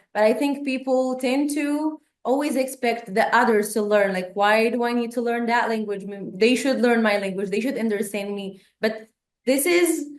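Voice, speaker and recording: A woman's voice: on the high side, roomy sound. A woman hosting a podcast interview, asking her guest questions in an encouraging way. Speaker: podcast host. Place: podcast studio.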